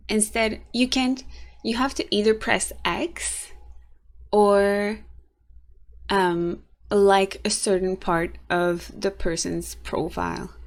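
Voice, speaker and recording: Tired, raspy young woman vlogging slowly. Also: mid-range voice. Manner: rambling, halting, frequent restarts and soft laughs. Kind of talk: casual vlog monologue.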